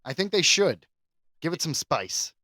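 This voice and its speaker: A man's voice: a little high, big pitch swings, a little slow, pushed and bright, dry recording, clean studio sound. A man co-hosting a podcast, commenting in casual, conversational chat. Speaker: podcast co-host.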